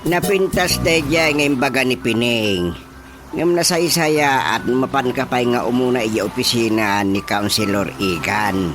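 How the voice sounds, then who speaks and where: A man's voice: mid-range voice, unhurried, lightly acted. A man acting as a supportive older father in a radio drama, advising his grown daughter with an Ilocano accent. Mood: encouraging, advising. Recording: radio drama with faint music behind.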